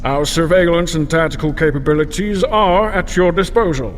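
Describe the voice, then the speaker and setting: A woman's voice: lowish voice, normal speed, lightly acted. A woman voicing a businesslike, profit-minded alien envoy in a video game, formal and transactional. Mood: formal, calculating. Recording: long hall reverb.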